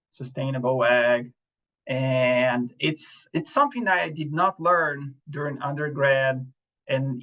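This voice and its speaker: A man with a Brazilian accent presents an online lecture in a mid-pitched voice. He talks in an easy, relaxed way, often pausing on fillers and restarting his sentences. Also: lo-fi sound. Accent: Brazilian Portuguese. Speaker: presenter, assistant professor. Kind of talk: webinar lecture.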